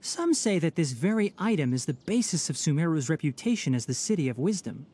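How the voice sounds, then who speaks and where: A man's voice: a little high, big pitch swings, brisk, warm tone, breathy edge. An actor playing a young male forest watcher in a video game, polite, articulate, composed and firm. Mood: helpful, explanatory. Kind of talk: video game cutscene voice acting.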